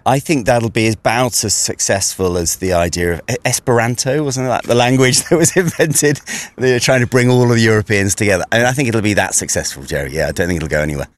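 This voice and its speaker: Low-voiced Englishman, good-humoured. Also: quick pace. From Britain. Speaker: interviewee.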